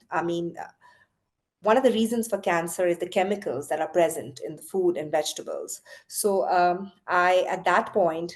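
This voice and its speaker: A middle-aged woman from Chennai, a company founder, telling her story in an interview with earnest pauses and fillers. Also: lowish voice. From Chennai, India. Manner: earnest, many fillers like "uh" and "you know".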